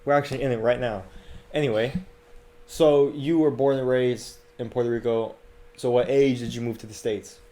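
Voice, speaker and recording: A young man hosts a casual chat. His voice is dark and relaxed, sitting at an ordinary middle pitch. He talks informally, as to a friend. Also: moderate pace. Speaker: podcast host. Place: indoors, at a home.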